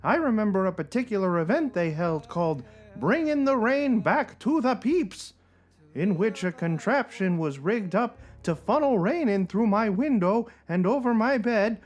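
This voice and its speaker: A gloomy, self-pitying diarist with a very high voice. The delivery swoops theatrically through wordy, melancholy sentences, as in a dramatic cartoon reading. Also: male voice. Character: gloomy, mopey young male diary writer who loves rain.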